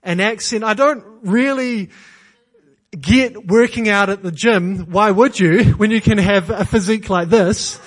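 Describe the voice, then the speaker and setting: A man with a New Zealand accent preaching from a church pulpit. His voice sits fairly high, and he drives his points home with earnest repetition, lightened by self-deprecating jokes. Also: a little slow. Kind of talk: sermon.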